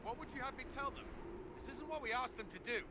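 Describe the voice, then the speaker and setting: A man's voice: very high-pitched, fast, background noise. An actor playing an exasperated, combative military man in a fantasy video game. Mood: exasperated, arguing. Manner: combative, forceful. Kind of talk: dramatic video game dialogue.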